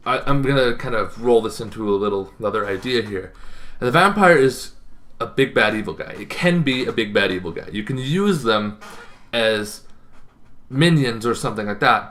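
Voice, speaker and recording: A man's voice: mid-range voice, sharp tone. Emphatic young man explaining casually. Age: young adult. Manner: emphatic, dramatic pauses and short punchy phrases. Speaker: tabletop gaming video host. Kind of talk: casual explanatory talk to camera. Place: small bedroom, indoors.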